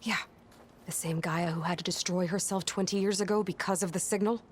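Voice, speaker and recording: An actress playing a determined, suspicious young outcast warrior in a video game, with a dry, blunt, sarcastic and guarded delivery. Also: female voice, lowish voice, steady tone, fairly quick, a little hiss or hum, close to an everyday voice. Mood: pressing, skeptical. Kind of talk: video game cutscene acting.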